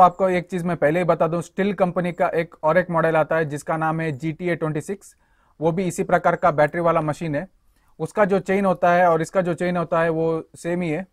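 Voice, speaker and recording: A man's voice: on the high side, no room sound, studio quality. A young man giving a hands-on walkthrough of a tool, explaining each part to viewers he greets as friends. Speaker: tool reviewer.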